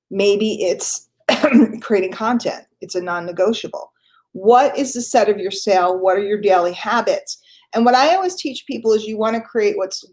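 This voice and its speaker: A woman hosting a daily motivational business call, a little stuffy from a cold, speaking earnestly and repeating key points. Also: medium pitch. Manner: casual, conversational, with fillers and self-corrections. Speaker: host.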